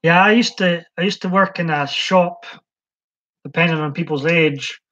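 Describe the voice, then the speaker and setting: A man's voice: on the high side, moderate pace, a bit bright. A man hosting a casual live stream, chatting hesitantly with lots of ums as he answers viewers' comments. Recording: dull lo-fi stream audio.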